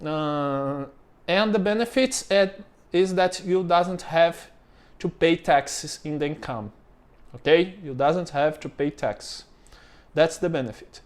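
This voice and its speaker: A man from Brazil, a native Portuguese speaker, explaining steps in English with a Brazilian accent, halting and full of fillers and "okay?" checks. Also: fairly high pitch. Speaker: former business owner explaining steps. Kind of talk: informal explainer to camera.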